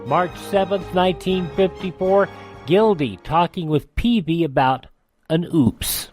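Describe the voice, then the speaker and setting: A man's voice: high voice, a little slow, music in the background. A man hosting a radio program, reading ads and introductions in a folksy, conversational way. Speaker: radio host. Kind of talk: host-read ad and program introduction. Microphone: close studio microphone.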